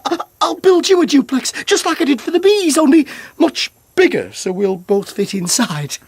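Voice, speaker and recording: A man's voice: extremely high pitch, expressive, normal speed, gravelly, no room sound, heavily acted. An actor playing a lovesick, over-eager inventor in a comic audio drama, stammering and repeating himself as he rambles. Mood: eager, besotted. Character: lovesick, rambling male inventor in mythic comedy.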